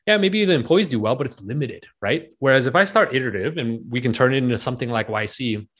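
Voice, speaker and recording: Relaxed man in his late thirties. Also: medium pitch, fast talker. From Penang, Malaysia. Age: 30s. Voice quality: relaxed, filler-heavy talk with many likes and rights. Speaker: investor, guest. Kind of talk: casual interview chat.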